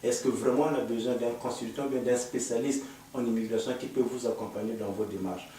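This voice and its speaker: Man, host, measured, fillers, mic far away, faint background noise. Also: mid-range voice, fairly even intonation, mellow. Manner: measured, asks questions with hesitant fillers. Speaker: host, immigration consultant. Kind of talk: hosting a studio panel talk. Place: studio. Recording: echoey room, mic far off.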